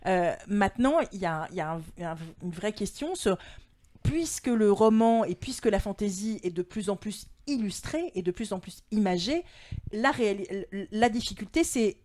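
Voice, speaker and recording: A woman arguing a point in an informal panel discussion, halting and repeating words as she thinks aloud. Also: medium pitch, darkish tone.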